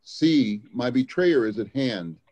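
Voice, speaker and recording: A man's voice: mid-range voice, lively intonation, slow pace, mellow. A man reading scripture aloud as part of a church dramatic reading. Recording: dull lo-fi sound.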